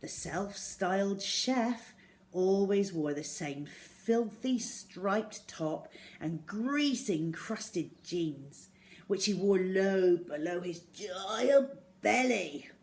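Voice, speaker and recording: A woman reading a children's story aloud in an expressive way, acting out the words as she goes. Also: mid-range voice, drawn-out words. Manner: expressive, acts out dialogue and sound words.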